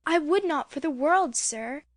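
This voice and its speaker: Woman doing an eager high young boy. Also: animated, unhurried, no room sound, clean studio sound. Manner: eager and polite.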